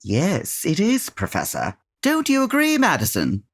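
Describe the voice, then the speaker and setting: An actor playing a composed, shrewd detective with a formal, dryly exasperated, erudite manner in an old-time radio drama parody. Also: male voice, fairly high pitch, wildly swooping pitch, measured pace, vocal fry, slightly rough, no room sound, clean studio sound, full character voice. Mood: probing, composed.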